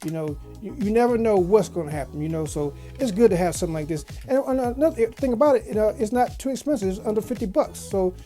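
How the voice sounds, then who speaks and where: A woman's voice: medium pitch, quick pace, hardly any pauses, mellow, faint music behind. A woman giving a casual product review as a video host, in a folksy, slangy way with repeated phrases. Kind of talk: casual unboxing review chat. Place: small home office studio.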